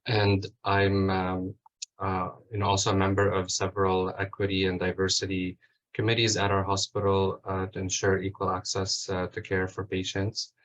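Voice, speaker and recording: A man's voice: deep voice, completely flat delivery, moderate pace. A man introducing himself as a hematologist on a video call, speaking hesitantly with many fillers. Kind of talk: self-introduction on a panel. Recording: dull video call audio.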